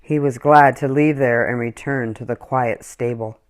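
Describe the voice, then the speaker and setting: A woman reading a children's story aloud in an expressive, acted-out storytelling style. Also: extremely low pitch, normal speed. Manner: animated, acts out dialogue and animal sounds. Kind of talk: expressive scripted storybook narration.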